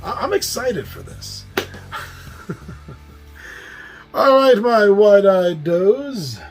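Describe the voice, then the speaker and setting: A man with a New York accent hosting a casual monologue about board games, chatty and quick to laugh at his own slips. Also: high voice, wildly swooping pitch, creaky, faint music behind. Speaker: host.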